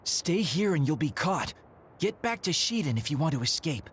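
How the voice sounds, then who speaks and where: An actor playing a sly, unrepentant hired assassin in a video game, talking in a flippant, smug way. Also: male voice, fairly high pitch, very animated, moderate pace, fairly bright tone, a little hiss or hum. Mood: warning. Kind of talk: dramatic video game dialogue.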